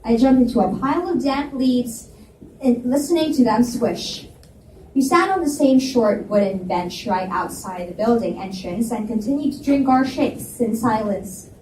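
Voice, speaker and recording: Casting: woman narrator, bright higher voice, lively expressive storytelling, heard from across a roomy space. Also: normal speed. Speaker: reader and narrator. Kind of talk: live reading of a novel. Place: library event space. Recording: roomy, distant mic with faint hiss.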